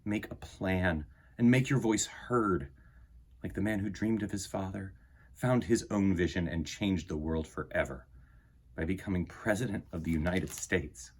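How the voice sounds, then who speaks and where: Deep, husky-voiced man reading to children. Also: normal speed. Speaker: children's storytime host reading picture books aloud. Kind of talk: expressive storybook read-aloud and talk to children.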